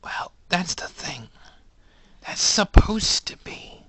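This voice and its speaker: Dude doing a whispery sneaky robot bear, all breathy and hushed. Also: male voice, high voice, sing-song, slow pace, dry recording, exaggerated voice. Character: male animatronic bear, secretive and calm.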